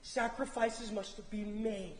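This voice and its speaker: A young man acting a forceful, accusing warrior, brother of a king, in declamatory Greek tragedy style on stage. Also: high voice, fairly even intonation, slow. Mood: stern, urging. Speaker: student actor in a stage play. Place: theatre stage, indoors. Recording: echoey noisy theatre hall recording.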